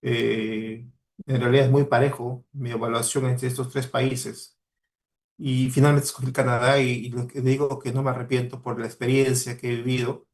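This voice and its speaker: A man's voice: medium pitch, flat delivery, normal speed. A man with a Peruvian Spanish accent giving a motivational talk to students, earnest and exhorting, repeating key words for emphasis. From Peru. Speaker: guest speaker, mining professional.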